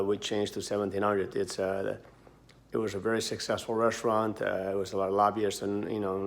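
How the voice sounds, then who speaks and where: A middle-aged man explains a dish as he cooks at home. He rambles, restarts his sentences and fills gaps with "uh" and "you know." His pitch sits in an ordinary middle range for a man. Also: a bit bright. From Portugal. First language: Portuguese. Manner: rambling, many fillers and restarts. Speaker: chef. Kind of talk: home cooking demonstration.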